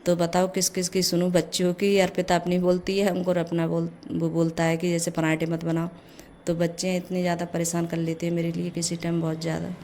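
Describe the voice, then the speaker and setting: A middle-aged woman with a low, gravelly voice made hoarse by a cold talks through her morning chores in a casual home vlog. Her pitch stays almost flat as she chats along. A faint hum of the house sits behind her. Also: brisk. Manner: chatty, leans on the filler "jaise". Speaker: vlogger, mother. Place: home kitchen.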